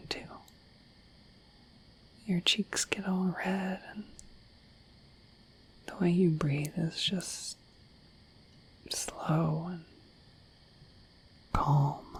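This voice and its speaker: A woman voicing a shy, affectionate dorky dragon in an ASMR roleplay, speaking in a gentle, hesitant, breathy whisper. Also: low voice, heavily acted, low-quality recording. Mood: tender, excited. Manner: gentle, hesitant, slightly bashful, intimate.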